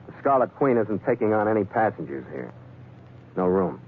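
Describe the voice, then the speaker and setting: A man's voice: fairly low pitch, very animated, moderate pace, slight background noise. A man playing a tough, wary sea captain who narrates and acts in a 1940s radio adventure in a terse, wry manner. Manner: terse, hard-boiled, wry. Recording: narrow old 1940s radio recording, music.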